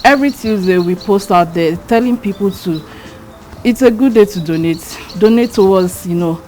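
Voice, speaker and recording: A woman's voice: medium pitch, normal speed, a little mellow, a little hiss or hum. A woman from Nigeria, a charity's country leader, talking conversationally in an interview.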